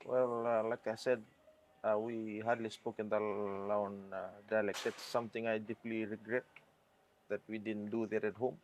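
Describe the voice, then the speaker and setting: A man from Fiji with a Fijian English accent, a band member answering interview questions in a reflective, halting way. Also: fairly low pitch, level tone, slight background noise, low-quality recording.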